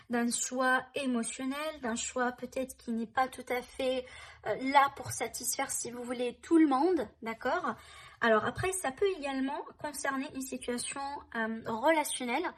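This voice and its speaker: Lady reading tarot cards for viewers, kinda high voice, lots of hein and d'accord. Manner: reassuring, many fillers like hein and d'accord. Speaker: tarot reader.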